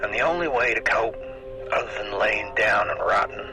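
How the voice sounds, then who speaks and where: A man playing a melodramatic, morose goth in a parody commercial, breathy and half-whispered. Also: lowish voice, over music, lightly acted, rough recording. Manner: morose, drawn-out. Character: gloomy melodramatic goth man selling trendy clothes.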